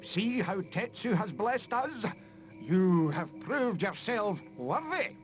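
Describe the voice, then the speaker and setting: A reverent priest from a fantasy game. The voice is high and moves expressively, at a slow, solemn pace. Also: male voice, very animated, a little hiss or hum, full character voice. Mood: grateful, reverent. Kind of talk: fantasy video game acting.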